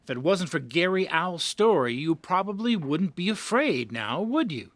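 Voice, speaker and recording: A man's voice: high voice, very animated, normal speed, no echo. A man playing a pushy, patronizing magazine editor in an audio drama, cajoling in a theatrical manner. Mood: coaxing. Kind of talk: amateur audio drama acting.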